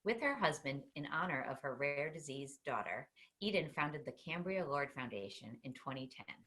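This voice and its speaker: A woman with a Boston accent hosts an interview over a video call. Her voice sits low, and she moves from a read-out welcome into friendly questions full of ums. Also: lively intonation, moderate pace, a bit bright. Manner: friendly, scripted introduction then chatty questions with fillers. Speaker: host.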